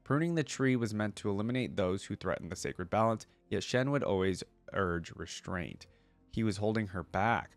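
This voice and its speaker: Man, low pitch, dry recording, lore reading, casual commentary, thinking-aloud delivery. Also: expressive, normal speed, no echo, faint music behind. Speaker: reaction video host.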